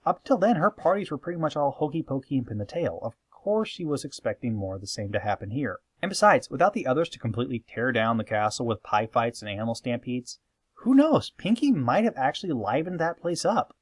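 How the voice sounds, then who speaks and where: A man delivers scripted commentary with very animated intonation. He is conversational and emphatic, with a playfully sarcastic edge. The sound is dry, with hardly any room to it. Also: mid-range voice, a little fast, slightly rough, dry recording. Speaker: host of a fan opinion video.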